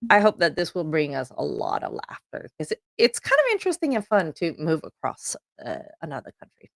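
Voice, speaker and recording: A woman's voice: low voice, huge pitch swings, brisk, creaky, dry recording, clean studio sound. A middle-aged woman from Sweden with a Swedish accent, hosting a podcast and chatting upbeat with frequent ums. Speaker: podcast host. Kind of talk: solo promotional announcement.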